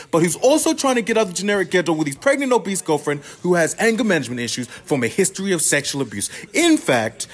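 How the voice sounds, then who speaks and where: Man acting as frustrated male playwright, sardonic, profane, confessional, emphatic, radio play monologue, very animated pitch. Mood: exasperated, ranting. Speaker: student actor.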